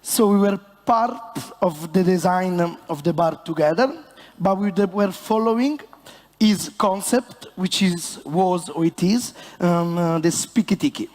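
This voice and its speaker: A man with an Italian accent, a bartender presenting on stage in a joking, halting way with many fillers. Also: high voice, a little mellow. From Italy. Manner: halting and playful, many fillers, self-mocking banter. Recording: long hall reverb.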